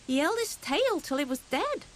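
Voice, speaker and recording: A woman voicing a small, timid young hedgehog in a storybook, with a thin, high, squeaky voice. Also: sing-song, moderate pace, a little hiss or hum. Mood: proud.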